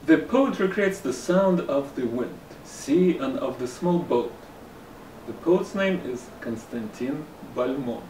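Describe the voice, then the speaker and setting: A man's voice: medium pitch, animated, slow, a little mellow, slight background noise. Russian-accented man teaching in an echoey room. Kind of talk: scripted lesson narration. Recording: roomy room echo, somewhat distant mic, faint ambience.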